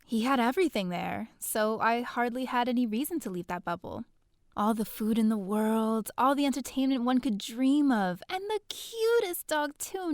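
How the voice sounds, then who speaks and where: A woman's voice: a little high, dry recording, studio quality, close to an everyday voice. A woman playing a chatty, bookish young heroine in a space-opera audio drama, theatrical and sardonic, full of casual asides. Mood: gushing. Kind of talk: first-person scripted audio drama narration.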